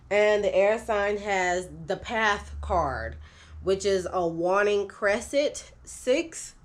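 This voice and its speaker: A slow, unhurried woman with a lilt reads tarot cards aloud, her voice a bit nasal and stuffy from a cold. She pauses often, with fillers and small restarts. Her voice sits slightly low for a woman. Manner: slow, halting, many fillers and self-corrections. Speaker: tarot reader. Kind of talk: unscripted tarot reading to camera.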